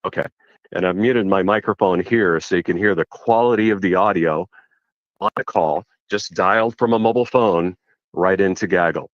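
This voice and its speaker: A man's voice: deep voice, moderate pace, dry recording, highs cut off. A man hosting a casual live product demo and interview, explaining things in an informal, conversational way. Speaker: host and product demonstrator.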